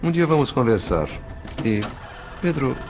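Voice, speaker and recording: An actor playing a courteous, observant male spirit who narrates a dramatized audiobook in a formal, measured storytelling manner. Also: mid-range voice, big pitch swings, over music. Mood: courteous, sympathetic.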